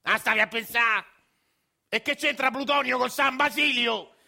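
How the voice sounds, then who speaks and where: An actor playing a cranky, foul-mouthed old grandfather who yells in a Roman accent. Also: very high voice, moderate pace, vocal fry, pitched above the performer's own voice, exaggerated voice. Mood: bitter complaint. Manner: yelling, crude, bitter complaining. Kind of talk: radio drama acting.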